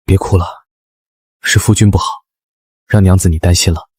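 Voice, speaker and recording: An actor playing a young husband who hides great power behind a harmless front, in a dramatized story. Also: male voice, deep voice, fairly even intonation, moderate pace, a little mellow, slightly rough, no echo, clean studio sound. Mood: gentle, soothing. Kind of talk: dramatized fiction voice acting.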